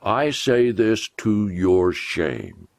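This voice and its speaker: A man reading scripture aloud as a preacher, in a solemn, deliberate and emphatic declamatory way. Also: lowish voice, big pitch swings, vocal fry, no echo, clean studio sound.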